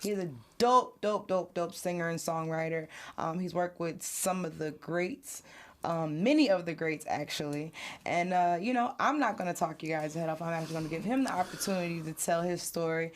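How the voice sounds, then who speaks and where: An upbeat woman hosts a casual interview, her voice sitting a little low. She talks with emphasis and likes to repeat words for stress. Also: moderate pace. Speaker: host.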